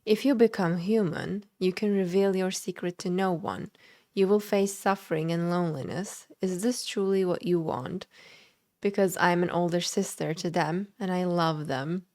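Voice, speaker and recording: A woman's voice: on the low side, fairly even intonation, moderate pace, darkish tone. A woman livestreaming a video game, commenting and reading the in-game text aloud. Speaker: game streamer.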